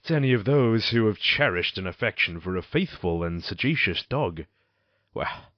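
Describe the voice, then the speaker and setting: Casting: male actor as condemned man, melancholy and intense, theatrical Gothic monologue, low-leaning husky voice, muffled roomy sound. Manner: theatrical, deliberate, formal archaic phrasing. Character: tormented condemned man confessing his crimes, intense and melancholy. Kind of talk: dramatic Gothic audio drama monologue. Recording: dull, roomy amateur recording.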